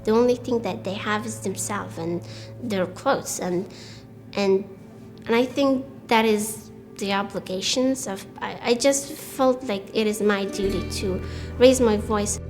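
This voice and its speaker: A young woman with an Afghan accent speaks earnestly in an unscripted interview. Her voice is medium in pitch, and music plays clearly behind her. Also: measured pace, darkish tone. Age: young adult. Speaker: university student interviewee. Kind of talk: spontaneous interview talk.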